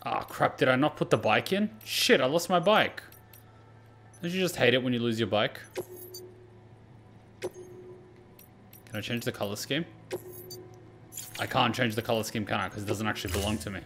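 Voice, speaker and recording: A man's voice: medium pitch, normal speed, pauses often, noisy background. A man giving casual gameplay commentary, talking to himself and swearing freely as he goes. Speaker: gaming streamer.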